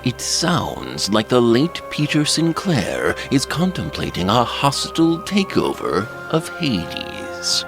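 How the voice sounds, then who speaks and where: Loud music bed, male anthology host, low voice, very slow, deliberate, theatrical, ominous narration. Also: wildly swooping pitch, creaky, heavily acted. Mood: wry, ominous. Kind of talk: scripted dramatic narration.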